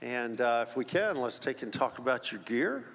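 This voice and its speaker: A man's voice: medium pitch, sing-song, normal speed, fairly bright tone. A man announcing results and chatting with players on stage at a live event, upbeat and joking. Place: large indoor arena. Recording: echoey hall sound over PA.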